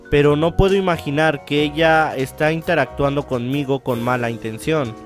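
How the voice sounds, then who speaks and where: A man hosting a let's play, reading visual novel narration aloud and now and then stumbling and rereading phrases. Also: on the high side.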